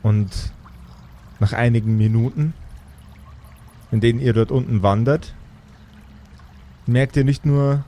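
A man narrating an improvised fantasy tabletop game as game master, in a measured, searching way. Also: mid-range voice, steady tone, mellow, a little hiss or hum.

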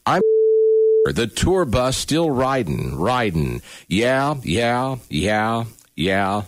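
A man, a radio news director, giving a deliberate, deadpan spoken recital of pop lyrics like poetry. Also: mid-range voice. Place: radio studio.

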